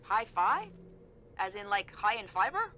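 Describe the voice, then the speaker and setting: A woman's voice: medium pitch, big pitch swings, unhurried, sharp tone, faint music behind. An actress playing a young, perky female tech analyst guiding a spy in a video game, playful and informal. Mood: playful, pleased.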